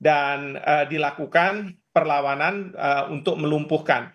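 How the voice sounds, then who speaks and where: A man giving expert analysis in an interview, explaining in a halting way. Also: fairly high pitch, fairly bright tone, lo-fi sound. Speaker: expert guest, professor.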